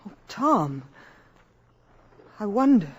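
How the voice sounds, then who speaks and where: Casting: sensible American mom in a vintage radio play, mid-pitched, polished, gently doubtful. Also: female voice, sing-song, very slow, dull audio, loud music behind the voice. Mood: soothing, concerned. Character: concerned, sensible mother, gently sceptical working woman. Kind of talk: 1950s American radio drama acting.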